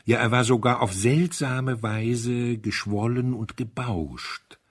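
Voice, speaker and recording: A man narrating a mystery story from a script in an expressive, dramatic storytelling manner. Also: medium pitch, takes it slowly, slightly rough. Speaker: audiobook narrator.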